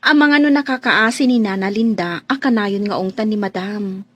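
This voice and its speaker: An actress playing a shy, deferential young housemaid in a radio drama, coy, hesitant and polite. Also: female voice, mid-range voice, moderate pace, fairly bright tone, muffled recording, natural voice. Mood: worried, polite. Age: young adult.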